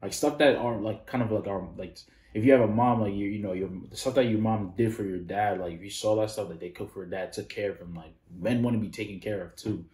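A man's voice: on the low side, quick pace, few pauses, roomy sound. A man in his thirties hosting a reaction video, talking casually and full of "like" fillers. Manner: rambling, many "like" fillers, animated when disagreeing. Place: small indoor room.

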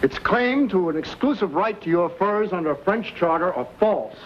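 A dull old film soundtrack with faint noise carries an upright army captain. He declaims formally in a high voice, like a public speech in a western. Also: lively intonation, normal speed. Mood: earnest, proud. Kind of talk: 1950s western screen acting, speech-making.

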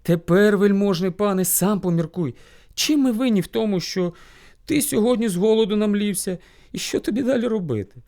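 A man voicing a small, sly hare in a folk tale, servile, meek and flattering as he pleads with his lord. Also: high-pitched, fairly quick, voice pushed up. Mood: wheedling. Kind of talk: animated folk tale storytelling.

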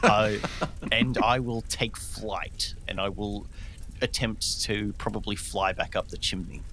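A man's voice: fairly low pitch, steady tone, unhurried, pushed and bright, slight background noise. A man playing a tabletop game, casually describing what his character does.

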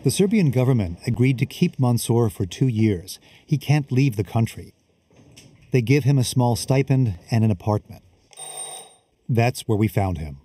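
A male reporter narrating a documentary voice-over in a measured, even manner. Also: mid-range voice, a little hiss or hum. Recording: voice-over under music.

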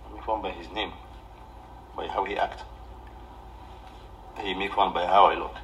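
A man in his thirties with an Egyptian accent, ranting off the cuff on a livestream in a defensive, halting, repetitive way. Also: low-pitched, fairly even intonation, a bit bright. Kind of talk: off-the-cuff livestream rant to camera. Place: small living room indoors. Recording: distant mic with background noise.

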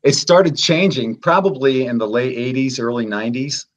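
A man's voice: mid-range voice, normal speed. Earnest fifty-something man telling a vision. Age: 50s. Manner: earnest, steady storytelling, emphatic repetition. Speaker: guest. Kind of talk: earnest explaining in a chat. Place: indoor room.

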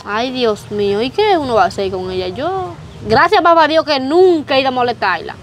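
A young woman plays a sighing, gossipy friend full of sympathy. Her voice is high and quick, with faint outdoor noise behind. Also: lively intonation. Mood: sympathetic. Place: outdoors, rural yard by a hammock.

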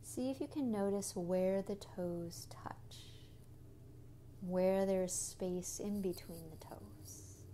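A woman leading a guided body scan meditation in a gentle, unhurried manner, with soft, breathy phrase endings and long pauses between short phrases. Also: medium pitch, a little hiss or hum.

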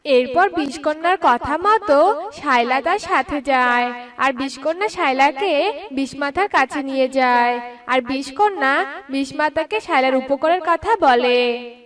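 A woman's voice: sits high, measured pace, fairly bright tone, far from the mic. A woman narrating a scripted children's cartoon story.

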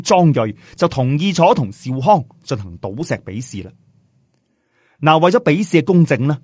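A man narrating a story in a lively, colloquial Cantonese storytelling style, chatting in asides to listeners. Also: medium pitch, husky. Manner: chatty, uses sentence particles and asides to listeners. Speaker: audiobook storyteller.

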